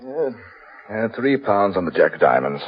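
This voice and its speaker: A curious, sociable gentleman in old radio drama. His deep, grainy voice moves in big melodic swings through dull, aged sound. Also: very animated, moderate pace. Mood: genial, curious. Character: genial, curious English gentleman at cards. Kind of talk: 1940s radio acting. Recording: muffled, husky old radio recording.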